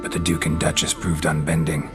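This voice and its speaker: Very deep, deadpan monster hunter. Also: male voice, a little fast. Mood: flat reading aloud. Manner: terse, deadpan, dry. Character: gruff, wry, middle-aged male monster-hunter investigating. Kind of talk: video game voice acting. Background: music.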